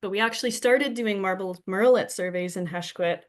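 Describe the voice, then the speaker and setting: A woman's voice: mid-range voice, brisk. Young woman from victoria bc, kinda sick and sniffly, friendly remote talk, lots of ums. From Victoria, British Columbia. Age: young adult. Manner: friendly, many ums and restarts. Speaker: guest presenter. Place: home, presenting remotely.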